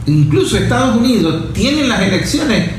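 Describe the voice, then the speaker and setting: A man's voice: high-pitched, very animated, normal speed. A man with a Venezuelan accent explaining politics in a conversational discussion, repeating key phrases for emphasis. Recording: very echoey room with faint noise.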